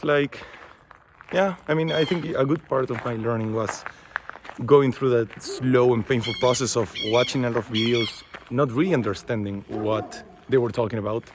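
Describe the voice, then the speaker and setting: A man's voice: medium pitch, noisy background. Chilean man rambling casually in English. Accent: Chilean Spanish. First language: Spanish. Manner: rambling, many fillers like "like" and "you know". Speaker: vlogger. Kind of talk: casual talking-head monologue. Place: outdoors.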